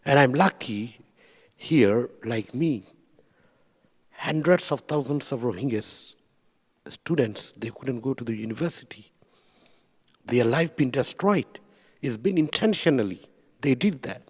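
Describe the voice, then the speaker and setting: A man's voice: medium pitch, animated, a little slow, pauses often. A man from Burma, speaking earnestly on a panel and repeating phrases for emphasis. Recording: reverberant hall.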